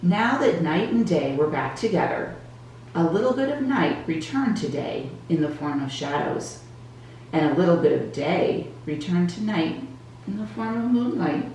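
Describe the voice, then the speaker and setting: Slow, low-voiced woman reading a children's story. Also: expressive, darkish tone. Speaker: children's storybook reader for a church Sunday school. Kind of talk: scripted storybook narration for children. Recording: roomy echo, distant mic, faint noise.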